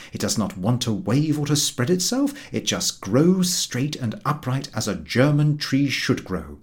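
Male audiobook narrator, medium pitch, animated swooping intonation, humorous scripted prose, measured storytelling. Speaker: audiobook reader.

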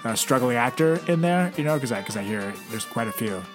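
A man's voice: medium pitch, animated, brisk, music in the background. A man co-hosting a comedy podcast, teasing his partner and riffing on absurd jokes. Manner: teasing, riffs with absurd bits. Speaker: podcast co-host. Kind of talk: casual comedic chat.

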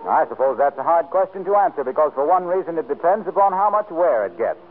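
Over a narrow, faintly hissy old radio recording, a male announcer delivers scripted copy. His voice is high and strongly inflected, in 1940s commercial style. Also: expressive, fast.

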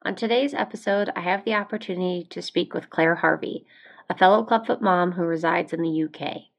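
A woman's voice: on the low side, brisk, vocal fry. A woman with an American accent, hosting a conversational podcast interview. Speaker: podcast host.

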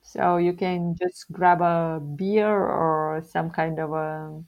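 A young woman from Bulgaria with a Bulgarian accent, a guest in a casual interview, telling things in an unhurried way and laughing often. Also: low-pitched, steady tone, darkish tone, lo-fi sound.

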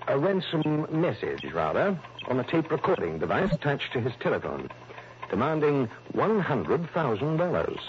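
An actor playing a fussy, anxious insurance office manager in early 1960s radio drama, formal, precise and easily flustered. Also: male voice, medium pitch, wildly swooping pitch, normal speed. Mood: formal, insistent. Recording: muffled, noisy old radio recording.

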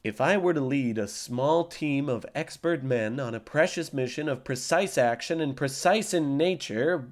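A man's voice: medium pitch, lightly acted. A man playing a boastful, pompous army captain in a comedy audio drama. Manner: pompous, boastful, slow and grandiose.

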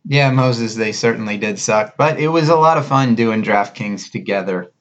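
A man's voice: fairly low pitch, normal speed, fairly bright tone, muffled recording. A man hosting a podcast, moving between conversational sponsor talk and scripted narration. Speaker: podcast host.

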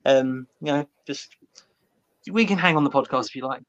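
A man's voice: mid-range voice, normal speed, a bit bright, dull audio. A man chatting casually as a podcast guest. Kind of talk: casual chat.

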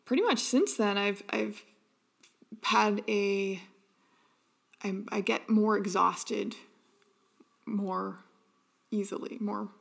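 Woman, 30s, podcast host, gravelly fry, mid pitch, earnest, reflective monologue, halting. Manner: earnest, with frequent ums and restarts.

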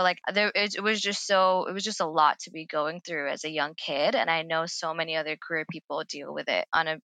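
A woman's voice: sits low, sharp tone, clear, resonant tone, dry recording. A young adult woman speaking as a podcast guest, earnest and reflective, with frequent fillers. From Pakistani-American. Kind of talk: casual interview talk.

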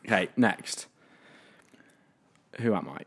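A man hosts a relaxed podcast quiz, reading clues aloud. His voice sits a little low, and he stumbles through fillers and breaks into laughter easily. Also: takes it slowly. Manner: laughs often, hesitant fillers. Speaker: podcast host running a quiz. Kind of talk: casual podcast chat.

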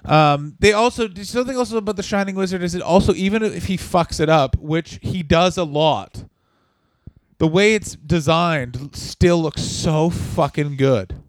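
Deadpan, profane male podcast co-host with a slightly high voice and a dry close mic. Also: moderate pace, no room sound. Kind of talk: casual comedic chat.

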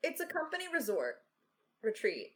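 A woman's voice: fairly high pitch, huge pitch swings, normal speed, fairly bright tone, husky. A young adult woman from Canada chatting casually and jokingly as a podcast lead writer and co-host. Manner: playful, joking. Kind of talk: casual creator Q&A chat.

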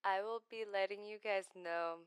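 A woman in her twenties from Iraq talks about her life in a personal vlog, gentle and hesitant, with many pauses and restarts. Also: medium pitch, fairly even intonation, clean tone, no room sound. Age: 20s. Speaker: vlogger.

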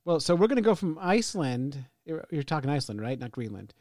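A man's voice: a little high, big pitch swings, quick pace, a little mellow, no echo, studio quality. A man hosting a podcast, chatting casually and laughing often at his own jokes. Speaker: podcast host. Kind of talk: casual chat.

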